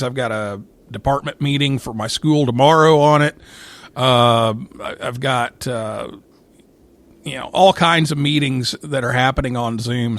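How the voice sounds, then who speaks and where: A man hosting a live talk show, interviewing in a conversational and somewhat halting way. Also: medium pitch, fairly bright tone, no room sound. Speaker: host of a ham radio talk show.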